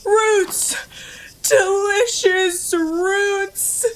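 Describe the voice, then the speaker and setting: Casting: actress as childlike hungry apocalypse rancher for audio drama, high voice, exclamatory and desperate. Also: female voice, fairly even intonation, extremely slow, heavily acted. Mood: hungry, curious. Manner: exclamatory, wide-eyed, desperate. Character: starving, frantic, childlike female post-apocalyptic rancher. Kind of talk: live-streamed audio drama acting.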